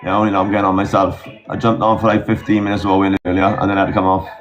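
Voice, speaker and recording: A man's voice: sits low, little pitch movement, racing. A man from South Wales with a Welsh accent, calling into a live stream and chatting in a laid-back, slangy way. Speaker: caller. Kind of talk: casual chat. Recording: dull lo-fi call with faint music.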